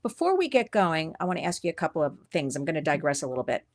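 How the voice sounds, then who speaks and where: A woman hosts an interview show. She talks in an animated, gushing way, laughing often and bubbling over with praise for her guest. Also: low-pitched, quick pace. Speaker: podcast host. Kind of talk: enthusiastic interview chat.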